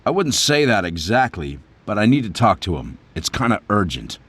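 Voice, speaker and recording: An actor playing a cocky American captain in a video game, sardonic and laid-back. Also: male voice, lowish voice, sing-song, moderate pace, slightly rough, full character voice. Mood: dry. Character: wisecracking, cocky American captain, prisoner of war. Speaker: captured pilot. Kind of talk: video game cutscene acting. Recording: loud music behind the voice.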